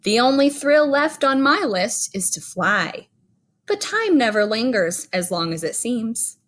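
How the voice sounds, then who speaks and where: A spirited old great-great-aunt from a children's storybook, read by a woman, with a fairly high voice and very unhurried delivery. She is expressive and encouraging, an elderly lady who still dreams. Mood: wistful.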